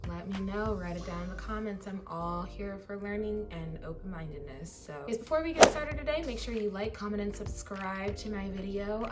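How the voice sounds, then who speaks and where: A woman in her twenties chatting to camera for her vlog, a little hoarse, with lots of "like" and "you know". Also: medium pitch, recorded from a distance. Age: 20s. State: slightly hoarse. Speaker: vlogger. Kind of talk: casual chat to camera.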